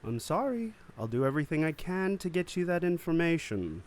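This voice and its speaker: A man's voice: on the high side, big pitch swings, brisk, a little hiss or hum. A man playing an evasive underworld business manager in a dramatic script read, defensive and placating. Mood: nervous pleading. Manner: pleading, defensive. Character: evasive, placating male spice-den manager in trouble. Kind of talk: fan script table read, dramatic acting.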